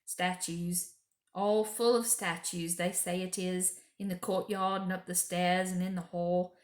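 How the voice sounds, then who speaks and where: A woman's voice: lowish voice, level tone, unhurried, close to an everyday voice. An earnest storybook beaver, acted out by a woman, a male creature who talks in a folksy, emphatic way full of reverence. The room echoes around the voice. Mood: grave. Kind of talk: children's storybook character voice.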